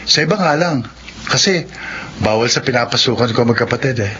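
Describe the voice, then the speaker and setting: A sincere, hardworking teenage Filipino boy in a radio drama. His pitch is medium and his manner respectful. The recording is muffled and clearly noisy. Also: animated, brisk, bright, forceful tone. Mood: friendly, sincere. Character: earnest, hardworking teenage son and student.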